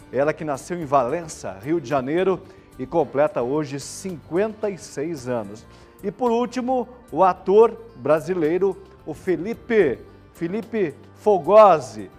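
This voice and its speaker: Man hosting, slightly high voice. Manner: chatty, colloquial, checks in with listeners. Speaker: television show host. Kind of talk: informal live variety-show presenting. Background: background music.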